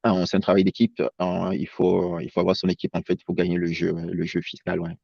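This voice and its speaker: A man's voice: low voice, monotone, brisk, vocal fry, a little mellow, no room sound. A man who grew up in France, presenting as an accountant in a webinar over a video call, hesitant and full of fillers and restarts. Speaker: accountant guest. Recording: dull lo-fi sound.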